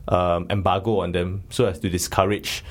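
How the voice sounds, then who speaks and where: Sex: male. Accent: Singaporean English. Role: podcast host. Style: casual film-review chat. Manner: jokey, rambling, lowish voice. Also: little pitch movement, moderate pace.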